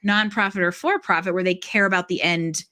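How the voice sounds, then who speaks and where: A woman hosting a conversational podcast interview, explaining things in an animated, casual way. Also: lowish voice, a little fast. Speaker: podcast host interviewing a guest.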